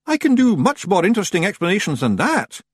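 A man voicing an excitable little male fairy clan leader with a Scottish accent, nervous and boastful, in an audiobook. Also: high voice, fast talker, slightly rough, no echo, voice pushed up, full character voice. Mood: nervous, evasive. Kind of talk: audiobook character voice.

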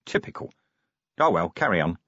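Man playing a grumpy, husky watch commander. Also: sits low, very animated, moderate pace, sharp tone, dry recording, dull audio, exaggerated voice. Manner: gruff, sarcastic, demanding. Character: grumpy, sardonic male city watch commander.

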